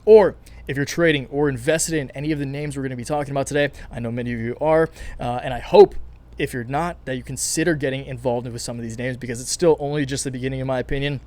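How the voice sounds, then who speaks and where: A man giving casual, energetic stock and crypto commentary as a channel host, his voice a little raspy and his delivery quick and chatty. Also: medium pitch. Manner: fast, energetic, chatty, many fillers and restarts. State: slightly raspy from recording all day. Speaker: finance YouTuber. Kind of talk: casual market commentary to camera.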